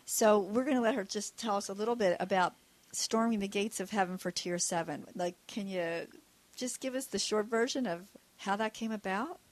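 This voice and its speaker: Raspy-voiced woman radio host. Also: medium pitch, normal speed, low-quality recording. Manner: warm, joking conversational hosting.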